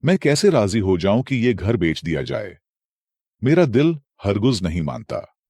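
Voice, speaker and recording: A man's voice: lowish voice, big pitch swings, unhurried, darkish tone, no echo, studio quality, heavily acted. A man voicing a loyal, earnest younger son in a moral story, speaking with heartfelt feeling. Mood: pleading. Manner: pleading, earnest.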